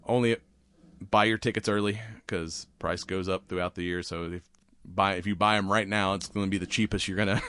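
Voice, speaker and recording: Man, raspy worn voice, low pitch, rambling chatty podcast host, dry close sound. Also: steady tone, fairly quick, a bit bright, dry recording. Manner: rambling, chatty, laughs often. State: raspy, voice worn from cigars and whiskey. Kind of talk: casual chat.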